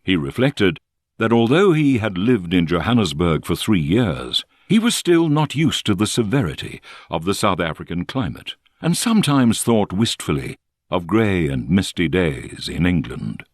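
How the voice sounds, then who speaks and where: Male audiobook narrator for literary fiction: low register, animated melody, measured and expressive delivery. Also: dry recording, studio quality.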